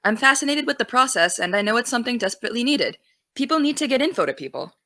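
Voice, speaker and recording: A young actress playing an earnest high school girl who wants to be a journalist, defensive and dry. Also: sing-song, fast, bright, forceful tone, no echo. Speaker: student podcast host. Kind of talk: audio drama.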